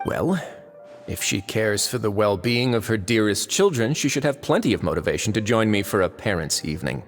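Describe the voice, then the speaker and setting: An actor playing a suave, calculating fortress lord in a game, speaking with measured courtesy and veiled menace. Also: male voice, fairly low pitch, big pitch swings. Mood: calm menace. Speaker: voice actor in a dubbed video game. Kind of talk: theatrical game cutscene dialogue. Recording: long reverb under game music.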